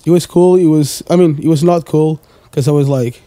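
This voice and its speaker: A man's voice: on the high side, steady tone, normal speed, dark tone, no echo. A man, chatting casually as a podcast guest, rambling with lots of fillers and the odd swear word. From Portugal. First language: Portuguese. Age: 20s. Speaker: guest, music producer.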